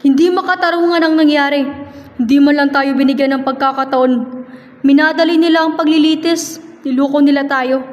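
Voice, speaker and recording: A woman's voice: high-pitched, fairly even intonation, fairly quick, highs cut off, natural voice. A woman playing a principled, indignant male Spanish defense lawyer in an amateur radio drama. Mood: indignant, ashamed. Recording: echoey hall, faint background noise.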